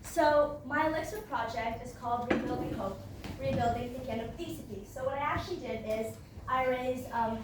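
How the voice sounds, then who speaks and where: A woman's voice: fairly high pitch, recorded from a distance, background noise. Brazilian teen girl presenting in echoey room. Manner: casual, many fillers and "like". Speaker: student presenter. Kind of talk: class presentation.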